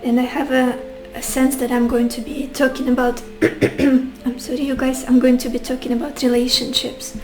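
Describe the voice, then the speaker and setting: A woman, a little sick and losing her voice, giving a gentle tarot reading to her viewers and musing aloud with soft hesitations. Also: high-pitched, level tone, normal speed, faint music behind. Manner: gentle, addresses viewers warmly as beautiful souls. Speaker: tarot reader.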